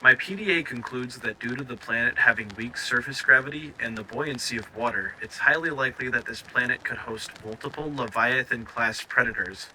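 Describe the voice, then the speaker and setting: A man playing a stranded crash survivor recording an audio log, anxious and awestruck, with a halting, stammering delivery. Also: mid-range voice, bright, forceful tone, a little hiss or hum, low-quality recording. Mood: grave, subdued. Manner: halting, stammering over words. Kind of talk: scripted audio log narration.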